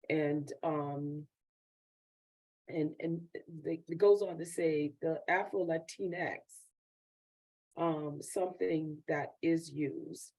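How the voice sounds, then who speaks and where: A woman's voice: sits low, warm tone, rough recording. A woman moderating an online Q&A, reading out audience questions with hesitant fillers. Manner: hesitant, reads questions aloud. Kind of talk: hosting an online Q&A.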